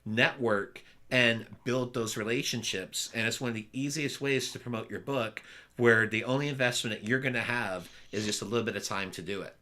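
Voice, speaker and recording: Upbeat, bright-voiced podcast host man. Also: mid-range voice, normal speed. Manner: energetic, upbeat, loud voice. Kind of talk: casual interview chat.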